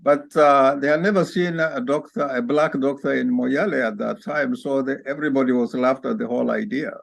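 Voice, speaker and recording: This is a man from Kenya, an orthopedic spine surgeon, with a noticeably gravelly, fry-tinged voice at an ordinary pitch. He tells his story in an unhurried, reflective way, heard over a video call. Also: no room sound. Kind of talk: interview, personal life story.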